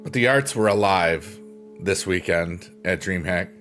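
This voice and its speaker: A deep-voiced man hosts a laid-back livestream, drifting from thought to thought with lots of "you know" and "um". His voice sits very low, and soft plucked-string music plays faintly behind him. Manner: rambling, unhurried, many fillers like "you know" and "um". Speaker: livestream host. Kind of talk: casual live-stream chat, reading viewer comments.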